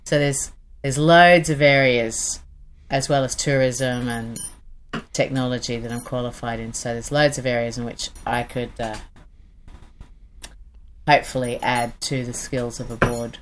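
A woman answering interview questions in a measured, thoughtful way. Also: very deep voice, fairly even intonation, a little hiss or hum. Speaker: interview guest.

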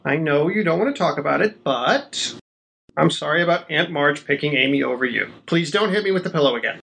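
Man acting devoted young guy, lively swooping intonation, playful, coaxing, audio drama, slight background ambience. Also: fairly high pitch, brisk, vocal fry, slight background noise. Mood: apologetic, coaxing. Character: eager, teasing, devoted young man, rich best friend.

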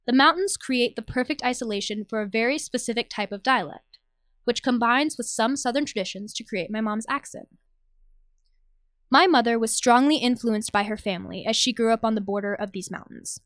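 A woman's voice: a little high, fairly bright tone, no room sound. A young American woman from Tennessee reading a scripted personal audio essay as its narrator. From Nashville, Tennessee, USA. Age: young adult. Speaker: narrator, journalism student.

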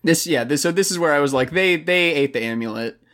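Male podcast co-host, dry rambling humor, slightly higher voice. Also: a little fast, fairly bright tone. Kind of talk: casual music review chat.

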